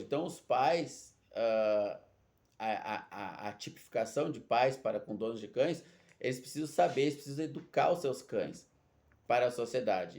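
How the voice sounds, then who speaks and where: A man's voice: medium pitch, a little mellow. Didactic middle-aged Brazilian man explaining. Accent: Brazilian Portuguese. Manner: didactic, explains through analogies, frequent tag questions. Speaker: dog trainer and canine behavior specialist. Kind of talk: informal explanatory monologue to camera.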